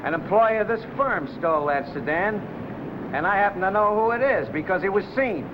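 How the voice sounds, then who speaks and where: High-voiced, scheming older boss. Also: male voice, expressive, a little fast. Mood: insistent, pressing. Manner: insistent, menacing. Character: stern, scheming older male boss, coolly extorting. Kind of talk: 1950 film noir acting. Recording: muffled, noisy old film soundtrack.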